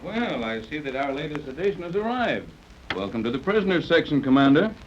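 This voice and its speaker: A man's voice: fairly high pitch, very animated, brisk. A man playing a reassuring, easygoing captain in a 1930s radio adventure serial. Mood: genial, surprised. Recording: dull, faintly noisy 1930s radio recording.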